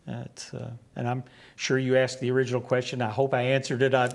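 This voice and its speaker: A man in his sixties from West Virginia with a West Virginian accent, telling rambling stories in a relaxed interview on a small studio set, acting out the exchanges he quotes. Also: mid-range voice, a little fast, gravelly. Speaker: guest, comic book writer. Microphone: lapel.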